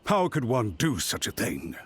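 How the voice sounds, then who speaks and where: An actor playing a haughty, scheming government official in a video game, with a pompous, theatrical and emphatic delivery. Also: male voice, medium pitch, huge pitch swings, measured pace, breathy edge, full character voice. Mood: indignant. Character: haughty, scheming male government official, indignantly self-righteous.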